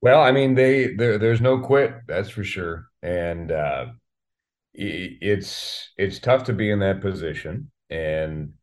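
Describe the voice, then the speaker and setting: A man speaking casually as an interview guest, halting and reflective as he thinks aloud. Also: low-pitched, lively intonation. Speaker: guest, radio play-by-play announcer.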